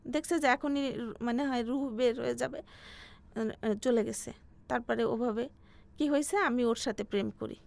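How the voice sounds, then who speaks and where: A Bangladeshi woman in her forties with a highish voice shares her personal story as a guest. Her telling is halting, and she often circles back to repeat a phrase before moving on. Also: vocal fry, a little mellow. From Bangladesh, Faridpur region. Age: 40s.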